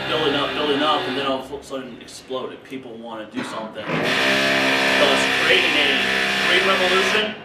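A man in his thirties from rural Illinois, speaking in an animated, loose conversational way at a workshop table in a meeting room. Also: medium pitch. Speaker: invited speaker. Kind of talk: conference workshop talk. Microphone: far camera. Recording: echoey room from far off, noisy.

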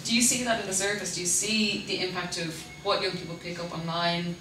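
A woman chairing a panel, putting a brisk, direct question. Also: on the low side, little pitch movement. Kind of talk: moderating a panel discussion. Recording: roomy, distant, loud background noise.

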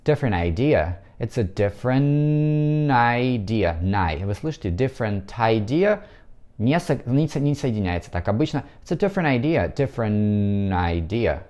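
A man in his thirties with a Russian accent, teaching English pronunciation to camera on a lapel mic in a bright room. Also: medium pitch, very animated. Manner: explains slowly, switches between languages, models pronunciation. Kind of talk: video lesson to camera.

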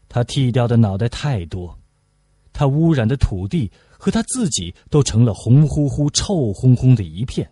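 A man's voice: mid-range voice, dark tone, husky, dry recording. A man reading literary prose aloud as an audiobook narrator.

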